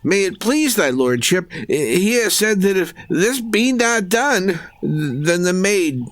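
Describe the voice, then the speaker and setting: An actor playing a meek, stammering, cowering medieval messenger, timid and obsequious, in an old radio drama. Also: male voice, sits high, big pitch swings, takes it slowly, noisy background, full character voice. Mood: fearful, pleading. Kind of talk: old-time radio adventure acting, archaic English.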